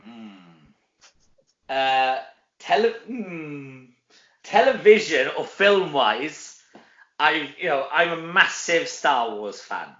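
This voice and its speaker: Male, middle-aged, Welsh. Chatty, informal interview guest with a forward, bright tone; roomy, lo-fi sound. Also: fairly high pitch, animated, slow pace. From Wales. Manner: chatty, informal, frequent tag questions. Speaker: guest, actor. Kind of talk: casual interview chat.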